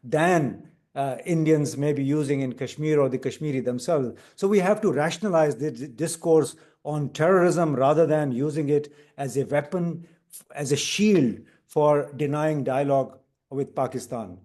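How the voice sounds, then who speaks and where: A man giving earnest formal remarks at a panel discussion, measured and occasionally pausing with fillers. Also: fairly high pitch, darkish tone. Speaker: panel speaker.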